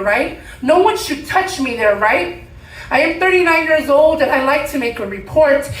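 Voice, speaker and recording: High-voiced woman performing spoken word. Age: 30s. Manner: emphatic, with deliberate repeated phrases. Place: room at a live poetry event. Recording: roomy, distant mic, faint background noise.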